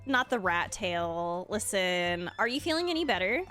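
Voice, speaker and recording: A woman's voice: medium pitch, very animated, moderate pace, bright, forceful tone, faint music behind. A woman game streamer reacting casually and dramatically, with gushing exclamations.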